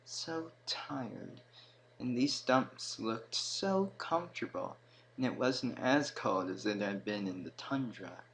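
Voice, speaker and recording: A man reads a fantasy tale as a dramatic narrator. He takes his time, swelling on some words and dropping low on others, in a middling register. There is audible noise behind the voice. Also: lively intonation, takes it slowly, a bit bright, low-quality recording. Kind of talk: scripted story narration, fantasy audio tale. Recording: clear background noise.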